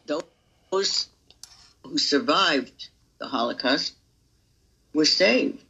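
Woman in her 80s from Romania, interview guest, measured halting delivery, grave, muffled sound. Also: low-pitched, expressive, bright, forceful tone, husky. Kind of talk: personal testimony.

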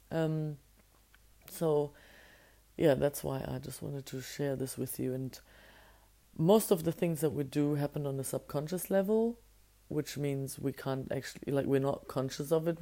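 Woman podcaster, deep hoarse voice, gravelly and dark, reflective and searching for words. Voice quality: naturally deep voice. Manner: reflective, musing aloud with searching restarts. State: hoarse, voice strained from singing. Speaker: podcast host. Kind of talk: casual solo podcast monologue.

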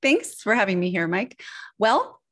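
A woman's voice: medium pitch, unhurried. Earnest woman guest telling her story. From Switzerland, grew up in Northern California. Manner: earnest, confessional, steady storytelling. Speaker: guest, personal branding expert. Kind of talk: candid interview storytelling.

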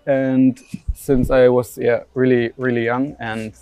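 Young man, flat voice, hesitant. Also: medium pitch, slow pace, smooth, no echo. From Germany. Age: young adult. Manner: hesitant, lots of fillers and restarts. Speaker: interviewee, young footballer. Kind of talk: casual interview answers.

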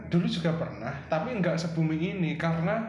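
A young man with a Javanese-tinged Indonesian accent, his voice sitting a bit high. He rambles and jokes in loose, casual talk, often restarting his sentences. The sound is roomy and echoey, with faint ambience underneath. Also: sing-song, a little fast, a little mellow, lo-fi sound, a little hiss or hum. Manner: joking, rambling with many restarts. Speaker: podcast co-host. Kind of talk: casual chat.

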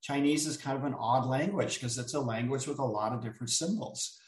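A man speaks in a mid-range voice, reflective and unhurried, often pausing on small fillers. He sits well back from the microphone. The room around him is echoey. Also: mid-range voice. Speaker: author guest. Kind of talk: reflective storytelling chat. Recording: roomy sound, distant from the mic.

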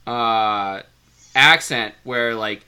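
A man's voice: mid-range voice, drawn-out words, crowd noise. A man with a Midwestern American accent, chatting casually as a podcast co-host and telling wry, rambling anecdotes. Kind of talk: casual chat.

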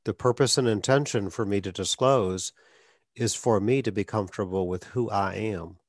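A man's voice: fairly low pitch, moderate pace, no echo, clean studio sound. A man hosting an interview, a speech clinician who speaks earnestly and advocates, with occasional stuttered blocks. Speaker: podcast host, speech clinician. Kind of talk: conversational interview.

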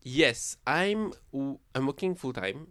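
Guy in his late 20s hosting a podcast, giggly and sweary, lively voice, bright and dry. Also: medium pitch, takes it slowly, no room sound. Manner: giggly, swears often, switches into Malay words. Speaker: podcast host. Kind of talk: casual chat.

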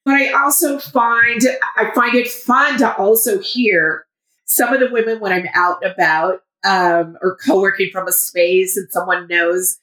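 A woman in her fifties, a guest in an interview, talking loudly and with enthusiasm. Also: medium pitch, steady tone, a little slow, roomy sound, low-quality recording. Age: 50s. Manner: loud, emphatic, enthusiastic. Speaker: guest, founder of a women's community. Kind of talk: conversational interview.

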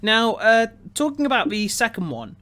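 A man with a notably high, bright voice hosts a casual film discussion. He starts sentences haltingly, often doubling back over his words. The delivery is conversational and forward in tone. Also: very animated. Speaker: podcast host. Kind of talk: casual film review chat.

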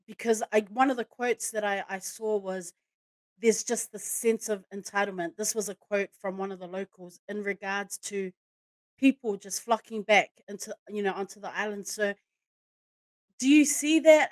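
A woman's voice: medium pitch, no room sound. A woman hosting a casual interview podcast, enthusiastic and speaking to her guest in a familiar, sisterly way. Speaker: podcast host.

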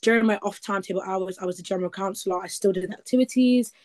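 Twenties woman, casual rambling guest, mid-pitched voice. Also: fast, darkish tone. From East Midlands, England. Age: 20s. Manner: chatty, rambling with many likes and ums. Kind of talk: casual interview chat.

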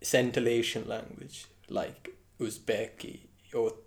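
Young male interviewer, medium pitch, curious, conversational, explains what he is asking. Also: level tone, slow, vocal fry.

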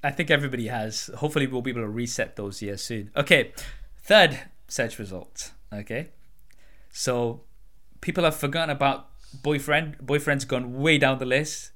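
Relaxed-format chat host, a man of medium pitch. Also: normal speed. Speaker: interview host, content creator. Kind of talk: casual chat.

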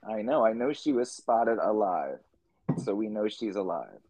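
Deep-voiced tired man, a bit scratchy. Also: lively intonation, moderate pace, mellow, dry recording. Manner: chatty, laughs easily. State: tired, a little scratchy from a cold. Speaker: podcast co-host. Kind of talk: casual chat.

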